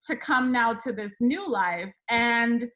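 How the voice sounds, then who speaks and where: Woman host, slightly high voice, candid, filler-heavy casual talk. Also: slow, fairly bright tone. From Dominican Republic. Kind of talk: casual conversational chat.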